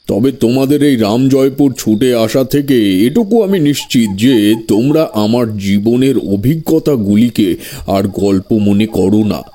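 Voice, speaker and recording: Casting: man acting older tantric storyteller for audio horror drama, dark soft timbre, grave, formal, unhurried. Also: lowish voice. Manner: slow, deliberate, formal phrasing. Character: older male village tantric storyteller, genial, grave, courteous.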